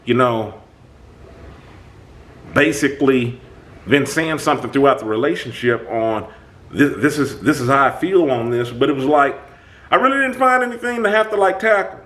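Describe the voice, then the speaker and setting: A man hosting his own channel, talking through a personal conflict in an emphatic, animated way. His voice is booming, and he often restarts his sentences. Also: moderate pace, long pauses. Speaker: channel host. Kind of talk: reflective self-talk monologue.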